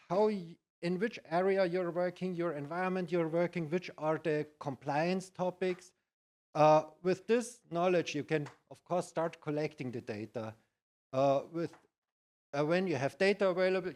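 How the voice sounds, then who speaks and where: A middle-aged or older man with a Bavarian German accent, giving a conference presentation in halting, non-native English. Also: high-pitched, darkish tone. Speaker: conference speaker, IT practitioner.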